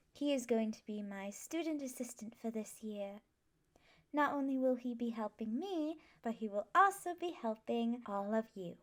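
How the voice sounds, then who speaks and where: A woman acts out a gentle, scholarly female professor of magic in an ASMR classroom roleplay. Her voice sits fairly high and she speaks very slowly. She is patient and reassuring, instructing her new students with measured care. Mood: soft, reassuring.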